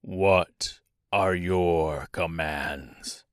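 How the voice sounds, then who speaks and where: A man voicing a winged monkey king, dutiful and solemn, with slow, formal speech for a storybook. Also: low-pitched, no echo, clean studio sound, pitched below the performer's own voice. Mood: solemn, obedient.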